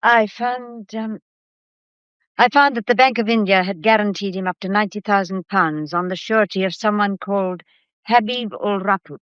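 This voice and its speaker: Actress as timid secretary, muffled sound. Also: female voice, mid-range voice, fairly even intonation, no room sound, natural voice. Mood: nervous. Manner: halting. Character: timid, hesitant female secretary. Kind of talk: radio drama acting.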